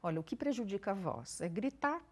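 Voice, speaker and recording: A woman's voice: medium pitch, lively intonation, fairly quick, darkish tone, husky. A woman speech therapist giving careful explanatory answers in an interview, using everyday examples.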